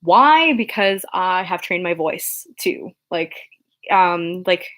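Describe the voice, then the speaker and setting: A woman's voice: expressive, takes it slowly, fairly bright tone. A young woman chatting casually on a livestream, rambling with many fillers and restarts, earnest and encouraging as she answers viewer questions. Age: young adult. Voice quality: low speaking voice. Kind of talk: casual unscripted chat on a livestream.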